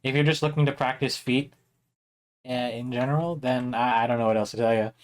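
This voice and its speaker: Young man, slightly hoarse voice, art teacher on a live stream, casual rambling, lots of ums. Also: medium pitch, steady tone, brisk. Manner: chatty, rambling, with many fillers and laughs. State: a little hoarse. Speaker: art instructor streaming a drawing workshop.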